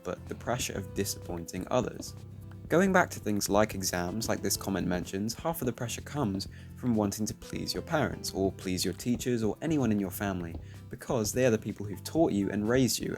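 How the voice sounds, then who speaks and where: A teenage man speaking calmly and reflectively, commenting over his gameplay. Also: fairly low pitch. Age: teens. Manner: soft-spoken, reflective. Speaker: YouTuber. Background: faint music.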